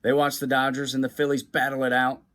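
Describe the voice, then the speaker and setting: Animated man reading a children's storybook aloud. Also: medium pitch, fast talker. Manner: animated, acts out the dialogue. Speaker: guest reader of a children's book. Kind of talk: expressive storybook read-aloud.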